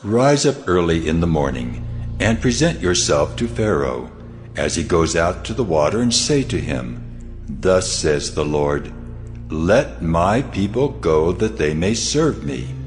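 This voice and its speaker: A man playing God in a dramatized scripture audio drama, solemn and majestic, speaking in deliberate declamation. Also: sits low, big pitch swings. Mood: solemn command. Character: God of Israel, solemn, commanding, majestic divine voice. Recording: reverberant hall, music underneath.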